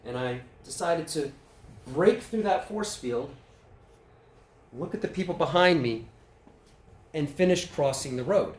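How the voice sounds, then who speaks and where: A man's voice: on the high side, animated, unhurried, long pauses, darkish tone. A man with a New York accent leading a public speaking workshop, talking casually and putting questions to the audience. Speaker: workshop presenter. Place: club meeting room. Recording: roomy, distant mic, faint room noise.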